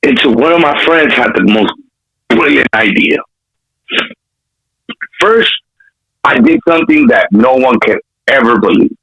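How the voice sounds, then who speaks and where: A man's voice: fairly high pitch, big pitch swings, a little slow, gravelly, pauses often, fairly bright tone, breathy edge, highs cut off. A man from Compton with an urban Los Angeles accent, telling stories as a rapper in a casual interview, using streetwise slang and frequent swearing. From Compton, California. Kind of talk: animated storytelling in a casual interview.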